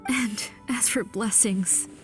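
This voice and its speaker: Desperate grieving mother, high voice. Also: soft background music. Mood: broken grief. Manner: pleading, halting, anguished. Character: grief-stricken, desperate mother in denial. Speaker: voice actor. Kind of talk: dramatic video game dialogue.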